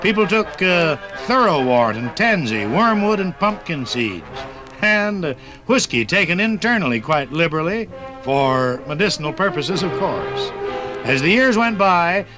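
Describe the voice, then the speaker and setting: An American man narrating a 1950s radio documentary as a folksy, dramatic storyteller. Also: a little high. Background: music.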